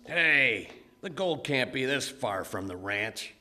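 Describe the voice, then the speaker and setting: Menacing frontier villain for radio drama, played by a man: mid-pitched, hard-edged, suspicious, commanding. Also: lively intonation, takes it slowly, bright, forceful tone, heavily acted. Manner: threatening, barking orders. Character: menacing, suspicious Western outlaw killer, man. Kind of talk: old-time Western radio acting.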